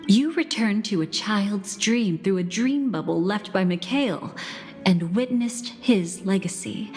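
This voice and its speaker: Actress doing a soft-spoken lady narrating bittersweet memories in a game, wistful n measured, big reverb. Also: mid-range voice, lively intonation, lightly acted. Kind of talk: slow storytelling narration in a video game. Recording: long reverb, music behind. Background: music.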